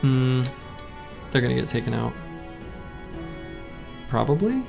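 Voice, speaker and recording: Slightly hoarse, gravelly-voiced male streamer. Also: medium pitch, big pitch swings, slow pace. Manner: rambling, chatty, greets chat viewers. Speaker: game streamer. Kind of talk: casual live-stream chat while playing. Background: faint game music.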